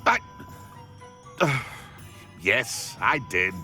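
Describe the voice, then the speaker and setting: A man's voice: mid-range voice, big pitch swings, extremely slow, bright, forceful tone, soft background music, full character voice. An actor playing a prickly, vengeful, smug man in a video game, with a British accent and a haughty, articulate, indignant manner. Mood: irritated, defensive. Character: prickly, vengeful, smug adult former schoolmate.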